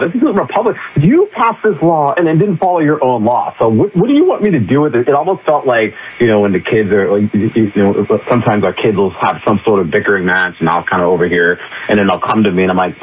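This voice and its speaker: A man's voice: mid-range voice, muffled recording, a little hiss or hum. A man guesting on a talk radio show, talking casually and animatedly, acting out quotes. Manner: animated, quotes others in raised voice, laughs often. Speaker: weekly guest columnist.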